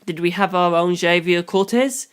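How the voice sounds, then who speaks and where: Conversational first-person audiobook narrator, medium pitch. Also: normal speed, clear, resonant tone. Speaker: audiobook reader.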